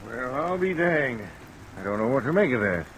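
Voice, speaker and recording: A man's voice: medium pitch, big pitch swings, moderate pace, over music, natural voice, rough recording. An actor playing a folksy, easygoing small-town Western lawman, rustic and plain-spoken, in an old-time radio drama. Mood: thoughtful, affable. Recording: old radio recording under light rain.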